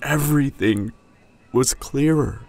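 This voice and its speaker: A man playing a tender, grieving young hunter boyfriend in an intimate, emotional audio drama, halting and husky, often dropping toward a whisper. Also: medium pitch, a little mellow. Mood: tender, wistful. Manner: halting, emotional, long pauses. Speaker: audio roleplay voice actor.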